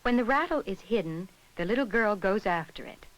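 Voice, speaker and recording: A woman reading scripted narration for an educational film in a measured, instructional way. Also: mid-range voice, lively intonation, rough recording.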